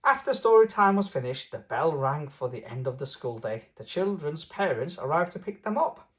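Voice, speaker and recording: A woman's voice: deep voice, sing-song, moderate pace. A woman reading a children's storybook aloud, adding playful, simple asides for young listeners. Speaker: storybook reader and channel host.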